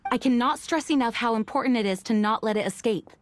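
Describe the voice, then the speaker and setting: A woman's voice: a little high, fast talker, a little hiss or hum, close to an everyday voice. An actress playing a dutiful female knight commander in a video game, formal and firm. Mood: resolute. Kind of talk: video game dub acting.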